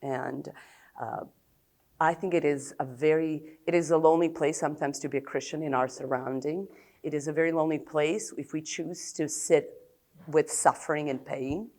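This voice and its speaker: A woman in her fifties from Serbia with an American-tinged accent, a psychologist giving a blunt, open conference talk with many pauses and fillers. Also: deep voice, fairly even intonation, darkish tone. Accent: American-tinged English.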